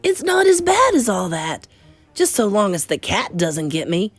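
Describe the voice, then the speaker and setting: A woman's voice: mid-range voice, very animated, normal speed, dry recording, faint music behind, voice pushed up. A woman voicing a cheerful, carefree little boy who has been turned into a mouse, in a storybook. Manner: gleeful, carefree.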